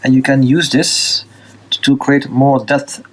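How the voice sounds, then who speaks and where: Man, Dutch accent, art teacher, unscripted tutorial, halting, many fillers, middle pitch. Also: little pitch movement, rough recording. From Belgium. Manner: halting, many fillers and restarts while drawing.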